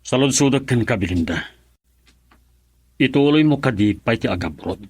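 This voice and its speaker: A man with an Ilocano accent and a husky voice playing a stubborn, wounded middle-aged farmer husband in a radio drama. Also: medium pitch, expressive, normal speed, no room sound. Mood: suspicious.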